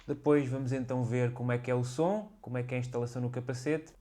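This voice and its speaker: A man with a European Portuguese accent presents a product review in a casual, explanatory way. His voice sits at a medium pitch, and he speaks as a vlogger would, directly and conversationally to his viewers. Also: steady tone, fast talker, heavy background noise. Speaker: motorcycle vlogger reviewing gear.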